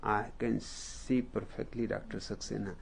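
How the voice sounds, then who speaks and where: A man with an Indian accent, a native Hindi speaker, telling a story as a spiritual teacher in a deliberate, unhurried way with long pauses. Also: on the low side, level tone, gravelly, a little hiss or hum. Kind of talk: slow storytelling discourse.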